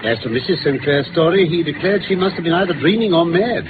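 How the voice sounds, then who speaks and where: A man with a British accent playing a brisk, incisive gentleman detective in a 1950s radio drama, articulate and theatrical as he lays out facts. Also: on the high side, animated, natural voice. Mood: confident, explaining. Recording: noisy, phone-like old radio recording.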